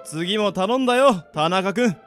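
An actor playing an appreciative office colleague in a radio drama. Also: male voice, sits high, animated, measured pace, fairly bright tone, soft background music. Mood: pleased, easygoing. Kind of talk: Japanese radio drama acting.

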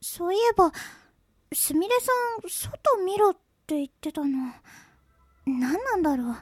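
Woman acting very high-voiced sweet schoolgirl. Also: big pitch swings, a little slow, dry recording, heavily acted. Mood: shy, polite. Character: polite, sweet, shy schoolgirl. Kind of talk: amateur radio drama acting.

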